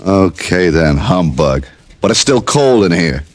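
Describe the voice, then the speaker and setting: A man's voice: sits low, big pitch swings, a little slow, dry recording, full character voice. A male actor playing a good-natured, put-upon young clerk with a plaintive, slangy manner in an old radio comedy drama. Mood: plaintive, wry. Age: young adult. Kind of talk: 1940s-50s radio comedy acting. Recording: old radio broadcast with loud music.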